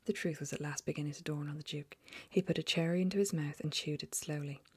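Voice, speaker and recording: Woman softly whispering a storybook. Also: low voice, fast talker, breathy edge, dry recording. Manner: soft, even storytelling.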